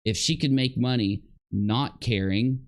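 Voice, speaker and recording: A man's voice: medium pitch, a little slow. Argumentative man doing reaction commentary. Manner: argumentative, accusatory. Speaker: commentary streamer. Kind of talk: unscripted reaction commentary over another person's video.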